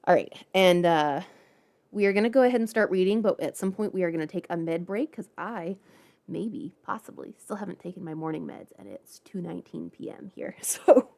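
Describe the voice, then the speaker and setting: Female streamer, slightly low voice, informal and playful, talking off the cuff to viewers. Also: a little fast, creaky, darkish tone. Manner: playful, informal, chatty asides. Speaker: livestream host. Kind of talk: casual livestream chat.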